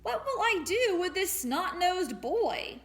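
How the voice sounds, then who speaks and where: A woman's voice: extremely high pitch, very animated, slow, voice pushed up, exaggerated voice. A woman reading aloud as a poor storybook flower seller, a wishful man growing greedy. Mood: puzzled. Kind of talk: storybook read-aloud character voice.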